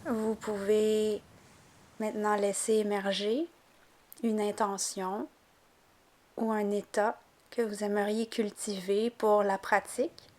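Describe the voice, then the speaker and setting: A woman leads a guided relaxation as a yoga nidra teacher. She speaks very slowly in a mid-pitched voice, with gentle, calm phrasing that leaves room between instructions. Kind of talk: guided relaxation meditation narration.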